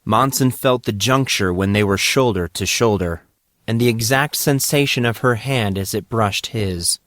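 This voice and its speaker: Low-voiced man narrating dramatic fiction. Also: moderate pace, fairly bright tone, dry recording, studio quality. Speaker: audiobook narrator.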